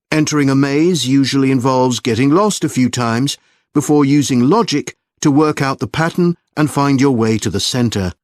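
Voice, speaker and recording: A man delivering a scripted academic lecture in a measured, explanatory manner. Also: mid-range voice, dry recording, clean studio sound. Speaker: lecturer in a listening test.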